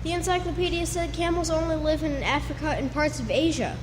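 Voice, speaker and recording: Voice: sits high, fairly even intonation, a little fast, natural voice. A child playing an earnest, inquisitive young boy of the Old West in a live radio play. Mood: curious. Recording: echoey hall with clear noise.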